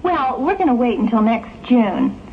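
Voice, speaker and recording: A woman's voice: a little high, very animated, normal speed, phone-quality sound. A woman playing a sociable, eager young bride-to-be in a 1960s audio drama. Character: house-proud, planning young female bride-to-be. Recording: thin old tape with hiss.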